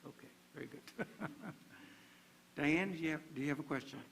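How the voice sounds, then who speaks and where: A man moderating a panel discussion, relaxed, passing on questions and reading out answers. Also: on the high side, moderate pace, vocal fry, a little hiss or hum.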